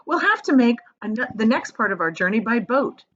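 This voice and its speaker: A woman reader plays an eccentric male chocolate factory boss in a children's storybook. He is exuberant and bossy, pitched up high, swooping widely as he urges and exclaims with showy delight. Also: sing-song, normal speed, a bit bright, muffled recording. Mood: brisk, urging. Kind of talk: children's storybook read-aloud.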